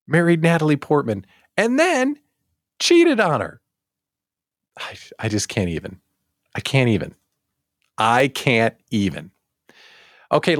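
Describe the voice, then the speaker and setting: A man hosting a casual live show, talking straight to viewers in an animated, theatrical way with exaggerated emphasis. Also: on the high side, dry recording, clean studio sound. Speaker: host of a daily live show for small business owners.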